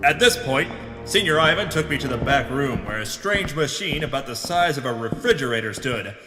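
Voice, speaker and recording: A man's voice: medium pitch, expressive, close to an everyday voice. Man playing a grave pulp hero, echoing voice. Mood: grave, suspenseful. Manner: melodramatic, grave, deliberate hard-boiled delivery. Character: pompous hard-boiled pulp adventurer hero narrating his own exploits. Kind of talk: pulp radio serial narration parody. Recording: reverberant voice over background music.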